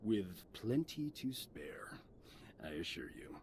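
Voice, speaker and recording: An actor playing a vain, brilliant doctor in a video game, with a theatrical, boastful manner. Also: male voice, lowish voice, very animated, takes it slowly, a little mellow, whispered. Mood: smug, boastful. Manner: boastful, theatrical, clinical when reporting. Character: cocky, vain male military doctor, brilliant and smug. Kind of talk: dramatic video game voice acting.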